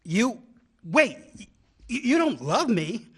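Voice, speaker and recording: A man's voice: very high voice, big pitch swings, takes it slowly, slightly rough, full character voice. A man acting an earnest, gushing suitor who stammers and hesitates, in a radio play. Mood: hurt, flustered. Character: earnest, smitten, gushing male suitor, Colin.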